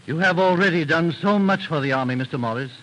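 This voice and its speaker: A man's voice: a little high, quick pace, over loud music, close to an everyday voice. An actor, a man, playing a dignified, earnest army general in a formal, solemn 1930s radio drama manner. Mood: grave, urgent. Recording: noisy 1930s radio recording.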